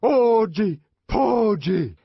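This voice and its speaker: A man voicing fervent male cult worshippers in an audiobook, with loud, stretched-out, frenzied cries and chants. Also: high-pitched, big pitch swings, extremely slow, vocal fry, husky, no room sound, lo-fi sound, voice pushed up, full character voice. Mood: rhythmic chanting. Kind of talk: audiobook character voice.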